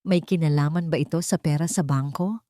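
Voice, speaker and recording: A woman's voice: low voice, brisk, dark tone, no room sound, clean studio sound, close to an everyday voice. A woman voicing a secretive young wife who feigns sweetness and polite concern in a story. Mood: feigned concern. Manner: polite, outwardly caring.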